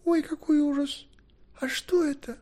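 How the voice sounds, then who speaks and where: A man voicing an excitable, earnest young woman in a fantasy audiobook, breathless, fretful and exclaiming. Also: very high-pitched, normal speed, voice pushed up. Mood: eager, alarmed.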